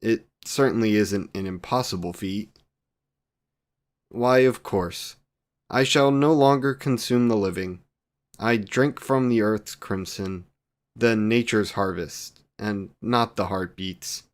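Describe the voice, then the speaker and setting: A man's voice: fairly low pitch, slow pace. A man playing a devoted, wry vampire boyfriend in a scripted romantic audio roleplay, speaking in ornate, formal phrases. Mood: wry, earnest.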